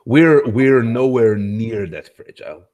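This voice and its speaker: A man's voice: mid-range voice, takes it slowly, a little mellow. A man talks through his argument in a casual debate, earnest and insistent, stacking up examples one after another. His voice sits in an ordinary middle range. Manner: earnest, argumentative, builds points in lists.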